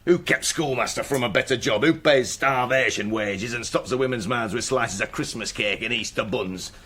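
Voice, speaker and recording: An actor playing a bitter, blunt sacked farm labourer in a radio drama. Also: male voice, mid-range voice, normal speed, bright, forceful tone, slightly rough. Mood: bitter, angry. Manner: blunt, embittered rhetorical questioning in dialect.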